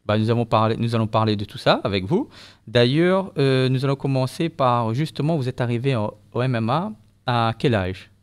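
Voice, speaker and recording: Guy hosting an interview, mid pitch, formal polite questions. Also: animated, moderate pace, smooth. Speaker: interview host.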